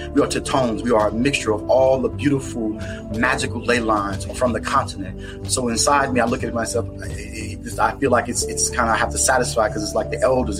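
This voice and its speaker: An American man being interviewed about his book, speaking in an earnest, reflective and halting way. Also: mid-range voice. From United States. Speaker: author guest. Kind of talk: podcast interview. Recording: music playing underneath.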